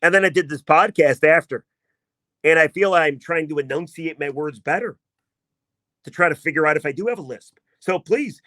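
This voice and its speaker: A man hosting a sports podcast, talking in a casual, emphatic and self-mocking way, with a voice he himself calls not deep. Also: fairly bright tone, no echo. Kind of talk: casual sports commentary monologue.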